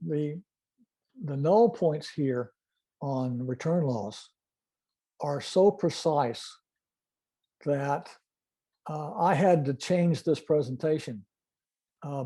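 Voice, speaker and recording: Dark-voiced older man explaining haltingly. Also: on the high side. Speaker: club presenter, retired-style veteran telephony engineer. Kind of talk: informal technical presentation with demonstration.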